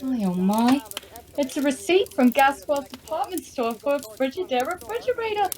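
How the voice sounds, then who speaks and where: An actress playing a chatty, sweet but sharp-tongued woman as Mrs. Claus in an old-time radio comedy. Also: sits high, lively intonation, moderate pace. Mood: eager, informative.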